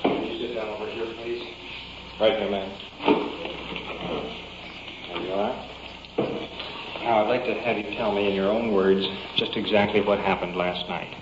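A man's voice: fairly low pitch, steady tone, moderate pace, long pauses. A man working as a police sergeant, formally and politely questioning witnesses. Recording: dull, echoey, faintly noisy field recording.

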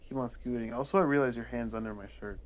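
A woman's voice: a bass voice, quick pace. A young woman co-hosting a casual podcast chat, joking and teasing her friend. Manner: joking, teasing, self-deprecating. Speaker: podcast co-host.

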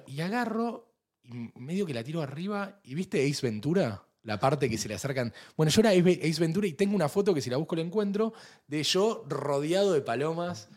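A young man with an Argentine accent talks loosely with a friend. He tells stories with lively energy. When he quotes someone, he jumps up into a high, put-on voice. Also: fairly quick. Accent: Argentine Spanish. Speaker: podcast co-host. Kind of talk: casual chat.

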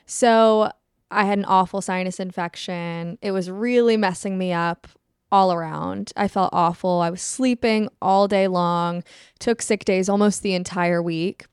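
A young woman co-hosting a podcast, telling stories in an animated, chatty way. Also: mid-range voice, measured pace, smooth, dry recording, studio quality. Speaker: podcast co-host. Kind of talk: casual chat.

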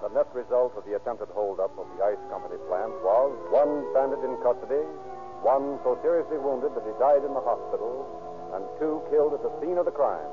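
A man's voice: mid-range voice, brisk, music in the background. A man with an American accent announcing in formal, declamatory 1930s radio style, on a tinny old recording. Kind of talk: 1930s radio narration and sponsor pitch.

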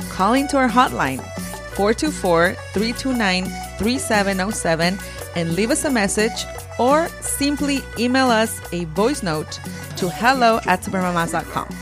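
A woman co-hosting a casual podcast in an expressive, chatty way. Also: medium pitch. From Mexican heritage. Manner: giggly, expressive. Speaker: podcast host. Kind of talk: casual animated chat.

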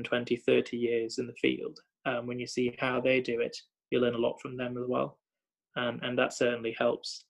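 Gravelly young man, reflective and halting. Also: mid-range voice. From Thailand. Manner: thoughtful, many fillers and repeated starts. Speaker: hospital doctor. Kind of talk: reflective conversation.

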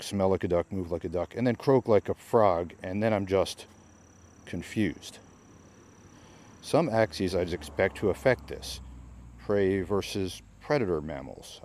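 Man, low voice, reading an article aloud, measured, explanatory, informal podcast commentary. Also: darkish tone.

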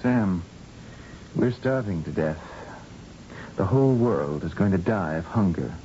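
A slightly noisy old radio drama recording holds a determined scientist from Earth. His voice is low and mellow, his delivery grave and earnest. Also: lively intonation, a little slow, dull audio. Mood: grave, urgent.

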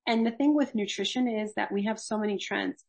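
A woman's voice: mid-range voice, a little fast, vocal fry, husky. A young woman from Los Angeles, a gerontology faculty member, explaining health principles earnestly in a conversational interview. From Los Angeles, USA. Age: young adult.

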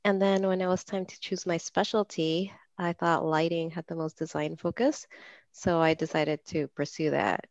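Woman from Peru with a gravelly voice. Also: fairly low pitch, steady tone, normal speed, a little mellow, no room sound, highs cut off. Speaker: lighting designer guest. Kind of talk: podcast interview.

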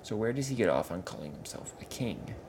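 A man's voice: lowish voice, sing-song, a little fast, background noise, voice pushed up. A man doing a cheerful, tough troll king. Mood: wry. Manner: loud, cheery, wry.

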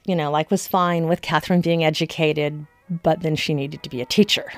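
Low-voiced woman explaining history. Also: steady tone, a little fast, faint music behind. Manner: emphatic, conversational. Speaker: historian commentator. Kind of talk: informal explanatory history commentary.

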